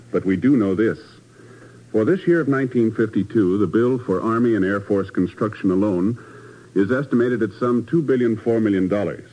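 A man's voice: on the low side, dark tone. A man doing scripted announcing and narration in 1950s radio style. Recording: muffled 1950s radio broadcast.

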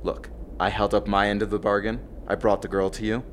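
A roguish space mercenary of noble birth acted by a man for an audio drama. He is cocky and defiant, in a lowish voice. A light bed of ambient noise sits behind him. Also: level tone, a little fast, close to an everyday voice. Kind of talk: science-fiction audio drama acting.

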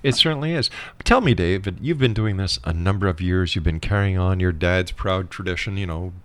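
A man's voice: sits low, brisk, fairly bright tone. A man hosting a radio interview show and addressing listeners and his guest.

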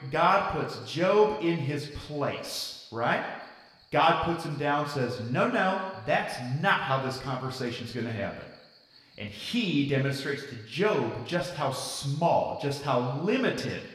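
An actor playing an earnest, folksy youth camp preacher, giving a conversational sermon full of rhetorical questions. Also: male voice, on the high side, fairly bright tone. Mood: dramatic, emphatic. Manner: rhetorical questions, conversational tag words like "right?" and "okay?". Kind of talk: sermon to young campers. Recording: echoey hall, distant from the mic.

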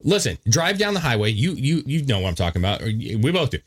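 A man's voice: mid-range voice, big pitch swings, fast, slightly rough. A man co-hosting a podcast, bantering in emphatic, profane, joking chat. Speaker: podcast co-host.

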